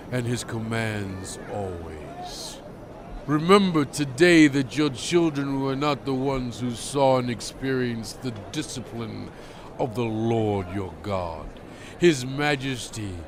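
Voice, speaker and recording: A man playing a solemn, commanding biblical prophet in a dramatized scripture reading, speaking in a measured, emphatic, exhorting way. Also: medium pitch, noisy background. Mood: solemn, reverent. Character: solemn commanding male biblical prophet addressing his people.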